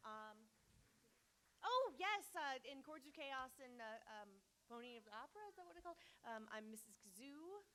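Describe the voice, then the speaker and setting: Hesitant, high-voiced woman. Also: very animated, moderate pace, sharp tone. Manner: hesitant, searching for what to say. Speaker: voice actor. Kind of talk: casual self-introduction. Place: convention panel room.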